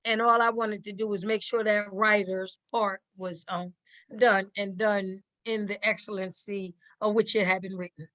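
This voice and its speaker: A woman's voice: mid-range voice, level tone, normal speed, sharp tone. A woman speaking as a guest in a casual conversation, modest and deferential, repeating words for emphasis. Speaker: stage play cast member, guest.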